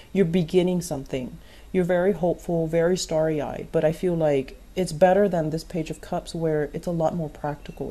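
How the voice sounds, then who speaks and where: A woman's voice: deep voice, warm tone. A woman giving a tarot reading, advising the listener earnestly and repeating phrases for emphasis. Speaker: tarot reader.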